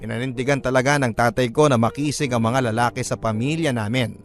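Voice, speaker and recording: A man's voice: mid-range voice, fairly even intonation, fast talker, soft background music. A man reading a scripted first-person story as a radio-style narrator.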